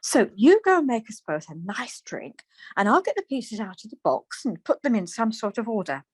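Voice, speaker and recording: A woman acting a chirpy, stubborn wife who is quick to retort, in a naturalistic radio play. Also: a little high, very animated, breathy edge, no echo. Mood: bright, eager. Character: Margaret, bright, stubborn, easily huffy wife in lockdown.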